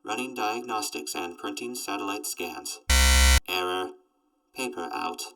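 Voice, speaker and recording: A man's voice: lowish voice, measured pace, recorded from a distance, over loud music, rough recording. A man playing an emotionless robot that delivers formal, stilted forecasts in an audio drama. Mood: flat, mechanical. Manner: stilted, mechanical, formal announcements. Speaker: robot companion reading forecasts. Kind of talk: post-apocalyptic audio drama.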